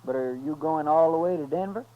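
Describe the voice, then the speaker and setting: A man's voice: on the high side, a little fast, lightly acted. A man playing a loyal, deferential American marshal's helper in a 1950s radio western, folksy and respectful. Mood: earnest. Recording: dull, noisy vintage radio recording.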